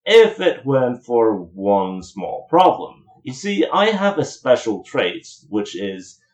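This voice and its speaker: A man's voice: mid-range voice, very animated, takes it slowly. A man giving a casual, opinionated spoken review as a video host, in informal language with some mild swearing.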